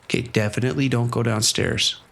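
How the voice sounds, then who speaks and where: A man playing a gravelly, firm vice cop. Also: mid-range voice, fairly even intonation, fairly quick, noisy background, lightly acted. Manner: firm, commanding. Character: steady male vice cop on the radio. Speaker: police officer.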